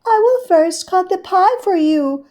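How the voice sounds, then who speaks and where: A woman voicing a prim, genteel female cat hostess in a storybook reading, high and polite. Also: animated, measured pace, warm tone.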